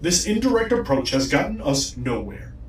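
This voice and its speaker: A man's voice: on the high side, big pitch swings. Echo-drenched imperious male villain. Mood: imperious, threatening. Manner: imperious, deliberate, threatening. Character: commanding, obsessed villain hunting a lost loved one. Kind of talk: dramatic audio fiction acting. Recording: echoey, distant, faint noise.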